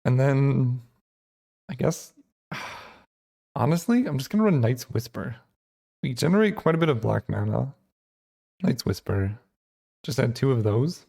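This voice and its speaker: A man hosting his channel, thinking aloud in casual gameplay commentary with lots of fillers, his voice getting hoarse. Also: medium pitch, big pitch swings, a little slow, pauses often, warm tone. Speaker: channel host.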